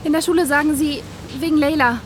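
An actress as a teenage schoolgirl in a radio drama, high-pitched and upset. She is urgent, abrupt and distrustful, over faint background noise. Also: fairly even intonation, fast talker, natural voice. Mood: upset, accusing.

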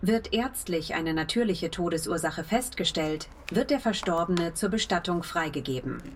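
Female AI assistant, lowish, formal, precise, impersonal radio-play readout over noticeable background noise. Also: animated, normal speed. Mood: neutral, informative. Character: neutral, polite female AI voice assistant. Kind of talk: formal informational readout in audio drama. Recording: clear background ambience noise.

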